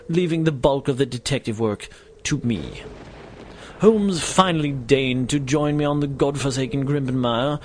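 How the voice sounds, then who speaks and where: A man's voice: mid-range voice, a little hiss or hum, lightly acted. A man playing an indignant, self-important Victorian doctor in an audio drama, pompous and theatrical in formal phrasing. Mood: grandly narrating. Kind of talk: audio drama acting and dramatic first-person narration.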